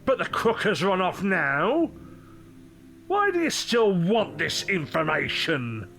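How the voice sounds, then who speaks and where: A high, pompous workhouse master. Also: male voice, big pitch swings, slow pace, creaky, a bit bright, faint music behind, full character voice. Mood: pompous, disdainful. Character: pompous, self-important male workhouse master, officious and disdainful. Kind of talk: period audio drama acting.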